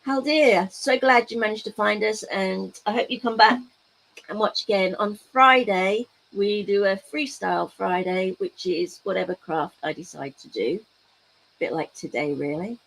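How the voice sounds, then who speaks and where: A woman's voice: mid-range voice, a little slow, vocal fry, fairly bright tone, low-quality recording. A woman hosting a casual crafting livestream, chatting playfully and teasing her viewers as she works. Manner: playful, teasing asides to chat. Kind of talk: casual chat while crafting, reading out chat.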